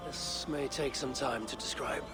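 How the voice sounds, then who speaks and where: An actor playing a grave, patient sage of a lost ancient people in a video game, explaining things solemnly. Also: male voice, mid-range voice, steady tone, unhurried, over music, close to an everyday voice. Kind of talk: dramatic video game acting.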